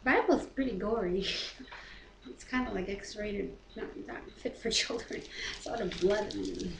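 A woman's voice: medium pitch, a little slow, creaky. A middle-aged woman with a North American accent, reading scripture aloud and commenting casually in a living room. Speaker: co-host of a home Bible reading. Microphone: webcam at about arm's length. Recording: roomy echo, noisy home webcam audio.

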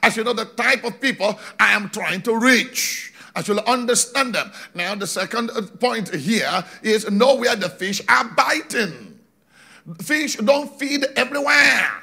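A middle-aged man preaching on a large church stage into a handheld microphone, animated, slowing down for emphasis and shouting key points. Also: very high-pitched, vocal fry, slightly rough. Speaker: pastor. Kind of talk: sermon. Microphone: handheld mic.

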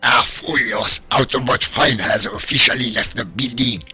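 A man's voice: mid-range voice, normal speed, sharp tone, husky, full character voice. A man playing a snarky, exasperated robot soldier with a sarcastic manner, in an amateur comic dub. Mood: sardonic. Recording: echoey, distant, faint music behind.

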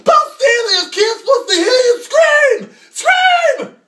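A man's voice: very high-pitched, very animated, takes it slowly, sharp tone, echoey room, shouting, distant mic, a little hiss or hum, exaggerated voice. A man impersonating a hyped-up glam-rock frontman screaming stage patter. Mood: frenzied.

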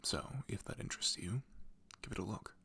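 A man whispering softly and breathily as an audio creator introducing his ASMR recording. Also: fairly quick. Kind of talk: whispered ASMR channel announcement.

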